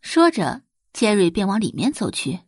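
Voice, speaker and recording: A woman's voice: mid-range voice, huge pitch swings, moderate pace, a little mellow, slightly rough, dry recording, studio quality. A woman giving dramatic scripted narration of romance fiction as an audiobook narrator.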